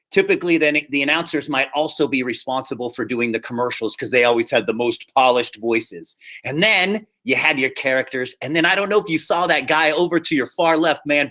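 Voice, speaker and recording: Bright-voiced man teaching informally. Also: a little high. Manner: explanatory, often checks in with "okay?". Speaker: high school drama teacher. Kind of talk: informal classroom instruction.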